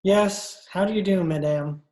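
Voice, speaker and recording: An actor playing a cultured, nervous judge and pool hustler, speaking in a fussy, theatrical old-time radio style. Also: male voice, sits high, measured pace, a little mellow. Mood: resigned, courtly. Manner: pompous, fussy, theatrical speech. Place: indoor room, recorded over video call. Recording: roomy, echoing video-call recording.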